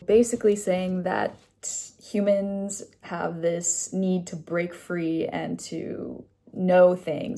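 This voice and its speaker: A woman's voice: lowish voice, creaky, dark tone. A young woman chatting casually in a vlog about her day and a book she is reading. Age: young adult. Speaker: vlogger.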